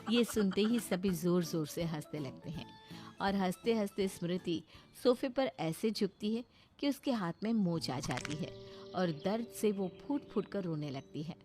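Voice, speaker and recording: A woman's voice: fairly low pitch, expressive, unhurried, music in the background. A woman giving a scripted narration of a moral story.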